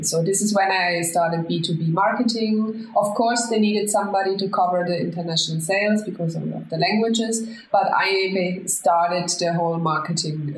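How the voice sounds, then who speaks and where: A woman with a German accent answering casual interview questions in a conversational way. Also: fairly low pitch. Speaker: interview guest, marketing manager. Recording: echoey room, faint background noise.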